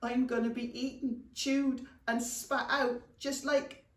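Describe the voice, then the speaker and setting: A British woman voicing cowardly, excitable young male goblins in a children's storybook, exclaiming dramatically in colloquial speech. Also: medium pitch, a little slow. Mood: gloomy panic. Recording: roomy echo, distant microphone.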